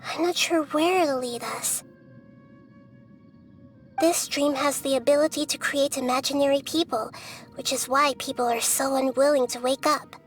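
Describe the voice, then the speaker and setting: A woman voicing a small, wise, gentle girl deity in a video game, explaining calmly and patiently. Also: high voice, normal speed, soft background music, close to an everyday voice. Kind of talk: English video game voice acting.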